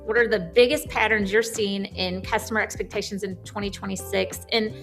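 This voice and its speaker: A woman's voice: medium pitch, fairly even intonation, brisk, fairly bright tone. Woman interviewer with faint music behind. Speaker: host, magazine publisher. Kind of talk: prepared interview questions.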